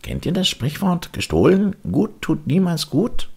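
Guy doing a young puppet boy from a storybook, higher voice, earnest and emphatic. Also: huge pitch swings, measured pace, vocal fry, darkish tone, husky, full character voice. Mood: indignant.

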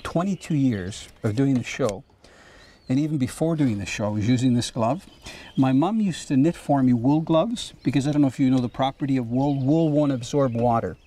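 Mellow-voiced fishing show host. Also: male voice, mid-range voice, normal speed. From Canada. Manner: patient, explanatory, enthusiastic. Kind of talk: outdoor fishing show explanation and chat. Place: outdoors on a boat.

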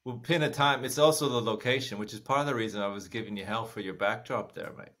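An American man in his forties from the Midwest co-hosts a podcast over a video call. His voice sits low, and he talks in a jokey, animated way. He speaks through earphones from a small room at home. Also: slight background noise. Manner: jokey, animated, quick to laugh. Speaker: podcast co-host. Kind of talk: casual video-call chat. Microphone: earphone headset on a video call.

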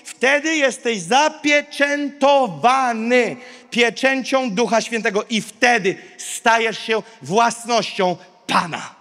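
A man in his thirties preaches with a very high-pitched voice. He speaks as a pastor to a big congregation, emphatic and declarative, repeating short phrases. His handheld-mic voice rings through a large hall with long reverb. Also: takes it slowly, a bit bright. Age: 30s. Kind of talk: sermon to a large live congregation. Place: large indoor auditorium stage. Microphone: handheld microphone.

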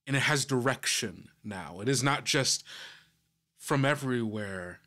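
A man runs a tabletop game, narrating with suspense. His mid-pitched voice moves slowly, each short phrase placed with care. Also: sharp tone. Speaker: game master.

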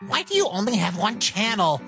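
Snide, creaky talking flower. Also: male voice, sits high, sing-song, fairly quick, fairly bright tone, faint music behind, heavily acted. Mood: irritated, snide. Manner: sneering, griping. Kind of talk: fan comic dub acting.

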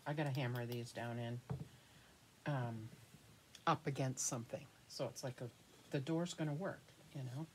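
American woman, fifties, low voice, casual craft vlogger, rambling and thinking aloud, noticeable background noise. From United States. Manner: informal, thinking aloud, trailing off mid-sentence. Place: small cluttered indoor craft room. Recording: clear room noise, handling clicks.

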